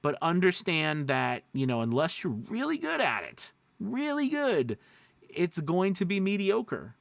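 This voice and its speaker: A man's voice: high voice, huge pitch swings, measured pace, vocal fry, no echo. A man giving casual, encouraging coaching advice to viewers, conversational and reassuring, often checking in with short tag questions. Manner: conversational, checks in with frequent "right?" and "okay?". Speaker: voice-over coach and video host. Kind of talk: casual advice talk to camera.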